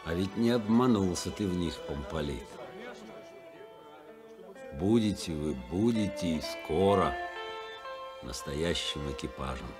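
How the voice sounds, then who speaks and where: An actor playing a wise, quietly approving seaman who speaks slowly in a 1970s radio play. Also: low-pitched, animated, music in the background. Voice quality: slow, quiet.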